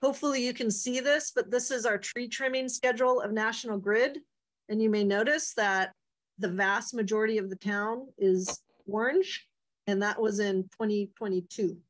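A woman presenting a committee update to a town board over a video call, speaking in a clear, explanatory and upbeat way. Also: medium pitch, normal speed.

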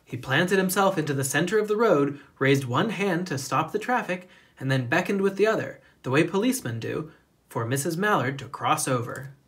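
Animated children's storybook narrator. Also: mid-range voice, moderate pace. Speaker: storybook reader, educator. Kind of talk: expressive children's picture book narration.

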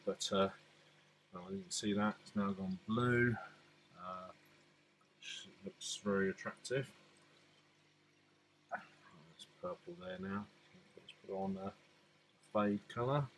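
A man's voice: fairly low pitch, slight background noise, rough recording. A man giving a casual, hesitant, unscripted hands-on update as a hobbyist vlogger, on an amateur phone video.

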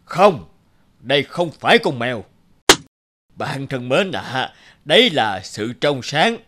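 An actor playing a smug, eccentric middle-aged landlord who bullies with theatrical mock courtesy in a cartoon fairy tale. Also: male voice, medium pitch, sing-song, slow pace, very noisy.

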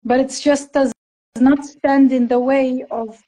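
Woman on a phone video chat, high voice, gentle, explains things step by step. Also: steady tone, slow. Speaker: guest cook. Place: indoor room, phone held close. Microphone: phone at arm's length.

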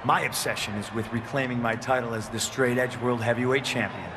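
An actor playing a disdainful, self-righteous wrestler in a video game, speaking with deliberate scorn. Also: male voice, medium pitch, steady tone, very noisy, natural voice. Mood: contemptuous.

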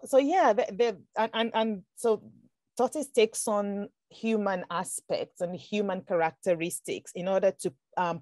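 A woman from Nigeria speaks as a guest in a podcast conversation, careful and reflective, often circling back and restarting her sentences. Her voice sits lowish, and the recording is dry and close with no room sound. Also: a little mellow, dry recording. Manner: thoughtful, with many restarts and fillers. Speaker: author guest.

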